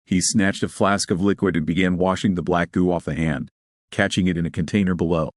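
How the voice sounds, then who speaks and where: Very deep male storyteller, close and dry. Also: fairly even intonation, brisk, no room sound. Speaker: narrator of an audio story. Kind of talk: scripted dramatic narration of sci-fi horror.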